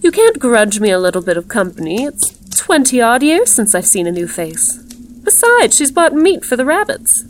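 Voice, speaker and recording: Woman playing a high, cooing, eerie ghoul hostess. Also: big pitch swings, moderate pace, a little hiss or hum. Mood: plaintive. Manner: ceremonious, sweetly cooing, plaintive. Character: ghoulish, glittering-skinned leprous woman, cooing sinister hostess.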